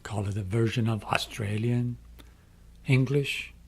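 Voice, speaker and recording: A middle-aged man from Iran with an Iranian accent, speaking English as a second language, making a slow, earnest inspirational appeal. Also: mid-range voice, fairly even intonation, a little hiss or hum. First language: Farsi. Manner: slow, deliberate, emphatic phrasing. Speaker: speaker addressing young people.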